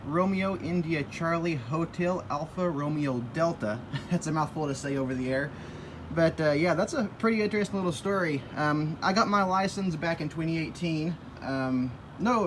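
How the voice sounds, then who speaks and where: A man's voice: on the high side, noisy background. A man working as an amateur radio operator, chatting casually and giving call signs outdoors on a rooftop. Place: outdoors on an apartment building roof.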